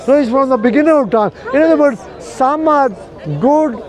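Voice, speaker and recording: A man's voice: very high voice, moderate pace, some chatter behind. An older man talking earnestly with a passer-by on a city street, explaining his views with many fillers. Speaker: street preacher. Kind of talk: street conversation. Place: busy city street outdoors.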